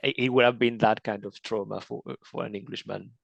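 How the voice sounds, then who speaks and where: A low, gravelly male voice with a flat, even delivery, recorded dry and close. He is an author talking casually in an interview, stumbling over restarts as he tells stories about his readers. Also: fairly quick, no echo.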